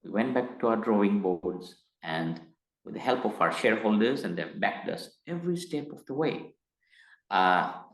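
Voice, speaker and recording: A middle-aged man from Tanzania, speaking as an interview guest in a measured, hesitant way that turns emphatic. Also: on the low side. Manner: measured, hesitant with frequent uh fillers, grows emphatic. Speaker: guest, company executive. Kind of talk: interview conversation. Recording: roomy echo.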